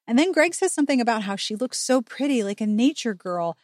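Animated high-voiced woman recapping casually. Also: a little fast, dry recording, clean studio sound. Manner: wry, emphatic asides, informal swearing. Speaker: podcast host. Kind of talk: casual book recap, chatty and opinionated.

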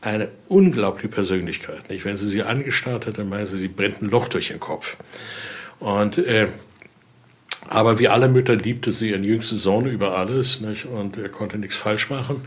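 A man with a fairly low voice talks as a guide who knows the subject well. He explains things in an informal, spoken way and often ends a point with a brief tag question. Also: moderate pace. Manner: frequent tag questions seeking agreement. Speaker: expert guide showing a collection.

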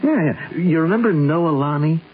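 An actor playing a lovesick, stubborn young American businessman in a radio drama, earnest and halting but insistent. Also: on the high side, animated. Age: young adult. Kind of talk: 1970s radio drama acting. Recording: dull 1970s radio drama broadcast, clear music.

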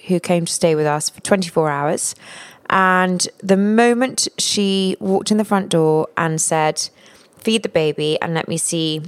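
A woman telling a candid, self-mocking story in casual chat. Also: lowish voice.

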